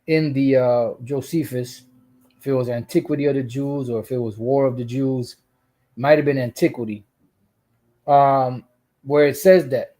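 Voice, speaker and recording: A man with a soft, dark-toned voice talks through scripture passages as a channel host. He rambles, circling back with asides and restarting his sentences as he reads out verses. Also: mid-range voice. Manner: rambling, full of "you know" asides and restarts. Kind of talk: casual religious commentary reading scripture.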